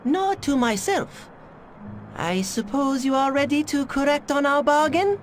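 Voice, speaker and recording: An eccentric, clever scientist for a video game, with a Japanese accent. The delivery is stilted and proud, yet very animated in pitch. Faint music sits behind it. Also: female voice, measured pace. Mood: dry. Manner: stilted formal phrasing with dropped articles. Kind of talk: video game cutscene voice acting.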